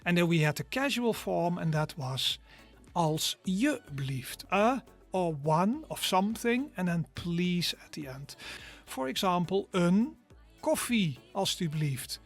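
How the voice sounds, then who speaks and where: Guy teaching an online course, slow careful explaining, drags out the example words, faint music. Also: high-pitched, sing-song. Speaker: language teacher. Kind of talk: scripted online course lesson.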